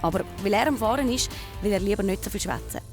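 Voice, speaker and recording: A woman's voice: medium pitch, quick pace, over music. A woman with a Swiss German accent presenting a children's show, explaining and asking questions in a casual reporting style.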